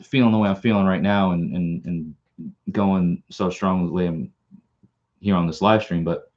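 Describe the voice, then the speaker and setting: Rambling Long Island man, low voice. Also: steady tone, normal speed, a little mellow, dull audio. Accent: Long Island New York. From Long Island, New York. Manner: rambling, with many repeated words and restarts. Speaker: channel host. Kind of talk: casual live-stream chat.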